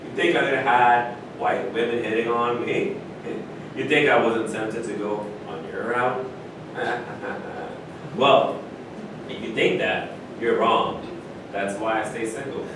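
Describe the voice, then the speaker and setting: Casting: actor as boastful male athlete, sardonic, deliberate delivery, heard from afar in a reverberant room. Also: fairly even intonation, natural voice. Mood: cocky. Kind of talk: staged reading of a play. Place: echoey room at a live staged reading. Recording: very echoey room, distant mic, loud rumbly noise.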